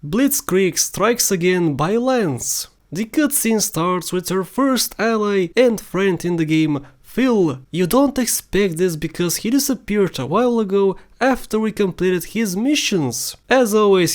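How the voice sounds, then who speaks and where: A woman's voice: medium pitch, animated, measured pace, hardly any pauses, a little mellow. A woman delivering scripted narration as the host of a video countdown.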